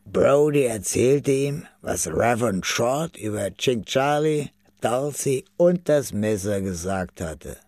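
A man narrating a scripted radio crime drama in a measured, matter-of-fact way. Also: mid-range voice, lively intonation, darkish tone, no room sound.

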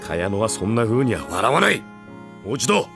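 A man's voice: lowish voice, big pitch swings, brisk, vocal fry, heavily acted. An actor playing an obsessed, domineering man, cold and commanding, in a dramatic audio drama. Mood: cold, obsessive. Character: obsessed, domineering, cruel man tormenting a captive girl. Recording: loud string music over voice.

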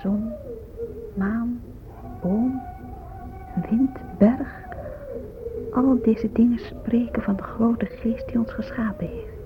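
A woman's voice: fairly high pitch, steady tone, slow, pauses often, noisy background. Grave Native woman, muffled radio. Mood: hushed reverence. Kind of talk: solemn radio drama monologue. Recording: muffled phone-like old radio recording. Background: music.

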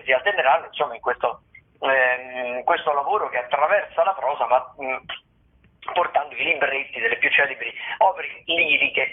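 A man who is a theatre critic, calling in with the week's listings in a hesitant, rambling way full of fillers. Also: mid-range voice. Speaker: theatre critic, weekly contributor. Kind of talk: casual phoned-in theatre listings. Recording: husky phone line over radio.

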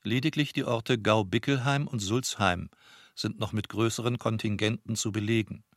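A man's voice: on the low side, fairly even intonation, normal speed, no room sound, studio quality. A man reading out an official report in a formal, bureaucratic manner.

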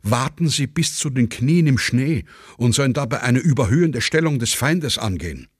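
A man's voice: medium pitch, animated, moderate pace, breathy edge, no room sound, clean studio sound. A man playing a grave army major who reports in formal military language and pleads against hopeless orders. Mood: grave, urgent.

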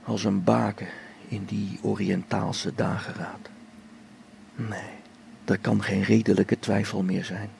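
An actor playing a middle-aged male space explorer whose faith is shaken, speaking a brooding, halting radio play log that sinks to hushed whispers. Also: lowish voice, flat delivery, slight background noise, heavily acted. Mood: hushed, somber. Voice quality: gravelly. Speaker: log-keeping space expedition member.

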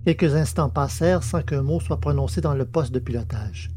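A woman's voice: sits low, normal speed, mellow, slight background noise. A woman reading scripted, dramatic narration for a science fiction audio drama.

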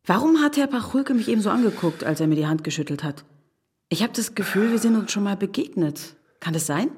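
A woman's voice: medium pitch, expressive, fairly quick, darkish tone, slightly rough. An actress playing a curious, self-assured woman in a radio drama, probing and dryly playful. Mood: curious, concerned. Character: curious, teasing, self-assured married woman, Fräulein Schlüter. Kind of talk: naturalistic radio drama acting.

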